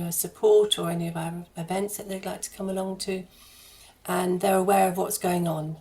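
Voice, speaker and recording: A woman with a fairly low voice talks as a guest in a radio interview. Her intonation stays quite level. She explains her charity work earnestly, pausing and hesitating as she finds her words. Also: warm tone.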